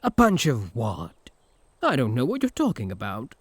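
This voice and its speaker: A man's voice: medium pitch, wildly swooping pitch, moderate pace, husky, no room sound. A man with a British accent playing a smug, teasing, possessive incubus in a comic audio roleplay. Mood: smug, taunting. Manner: mocking, flirtatious banter.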